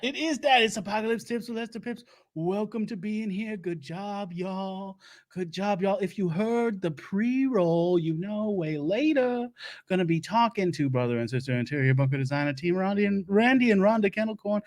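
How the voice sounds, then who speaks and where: Male comic doing a high-voiced, put-on Louisiana doomsday-prep host, rambly and self-important. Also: expressive, moderate pace. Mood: rambling. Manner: rambling, stumbling, laughs at own jokes. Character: bumbling self-proclaimed Southern doomsday-prep lecturer man. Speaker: comedy show host. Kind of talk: improvised comic monologue. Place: home studio.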